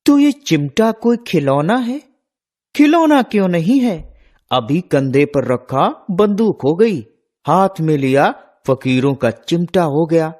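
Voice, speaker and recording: A young village boy, acted by a woman in a storybook tale, earnest, brave and proud. The voice is mellow and unhurried, with a caring, determined manner. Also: low voice, expressive, exaggerated voice. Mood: proud, boastful. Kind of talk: children's storybook dramatisation.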